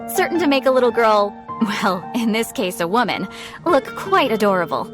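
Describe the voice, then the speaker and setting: A woman's voice: fairly high pitch, big pitch swings, moderate pace, over music. A woman playing a chatty, obliging female souvenir shopkeeper giving sales patter in an anime game. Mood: salesy, obliging.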